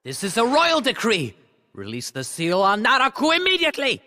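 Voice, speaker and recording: An actor playing a petulant, tyrannical king in a video game, shrill, imperious and impatient. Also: wildly swooping pitch, normal speed, sharp tone, exaggerated voice. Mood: furious. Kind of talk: dramatic video game acting. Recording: long hall reverb.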